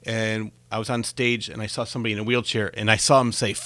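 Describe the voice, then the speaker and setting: A man's voice: lowish voice, little pitch movement, quick pace, a bit bright, no echo. A man hosting a conversational interview, chatty and given to telling anecdotes. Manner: chatty, tells anecdotes with acted-out quotes. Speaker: talk show host and interviewer.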